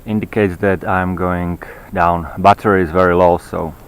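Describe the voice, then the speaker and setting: A man giving an unscripted, rambling monologue while walking outdoors, halting and fragmented as he searches for words. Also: deep voice, little pitch movement, smooth. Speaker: vlogger. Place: outdoors on a walk in nature.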